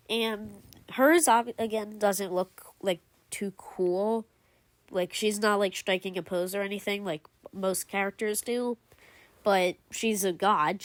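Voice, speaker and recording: Woman, mid-pitched, dry close mic, casual rambling voice-over full of fillers and restarts. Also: dry recording. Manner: rambling, filler-heavy, frequent "like" and self-corrections. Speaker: video creator ranking game cutscenes.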